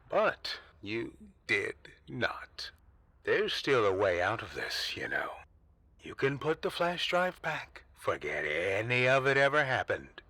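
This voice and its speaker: Mysterious old clue-giver, slyly menacing, deliberate, very animated pitch, dry close sound, comedic audio drama. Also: male voice, no room sound, exaggerated voice. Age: older. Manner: slow, theatrical, deliberate. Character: cryptic old man leaving taped clues, slyly menacing.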